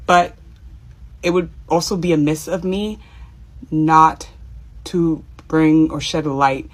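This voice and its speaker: Low-voiced woman from Miami speaking earnestly. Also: steady tone, takes it slowly. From Miami, Cuban family. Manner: earnest, pleading, with small nervous laughs. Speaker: social media commentator. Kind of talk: direct-to-camera personal commentary.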